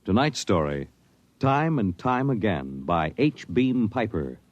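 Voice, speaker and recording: An American man announcing and narrating a 1950s radio drama in a formal, measured, dramatic manner. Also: lowish voice, very animated, highs cut off.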